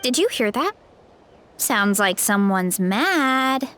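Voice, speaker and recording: A woman voicing a friendly, naive young female warrior in a video game, gentle and a little hesitant. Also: fairly high pitch, very animated, slow pace, slight background noise, heavily acted. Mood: curious.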